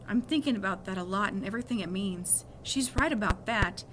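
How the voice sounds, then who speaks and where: A woman's voice: mid-range voice, fairly quick, fairly bright tone, a little hiss or hum, low-quality recording. A woman reading a children's novel aloud expressively, now and then correcting a small slip. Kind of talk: read-aloud storytelling.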